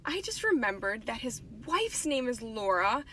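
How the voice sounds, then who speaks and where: A woman's voice: on the high side, very animated, normal speed, a bit bright, a little hiss or hum. A woman telling a casual social-media story, recounting a conversation line by line.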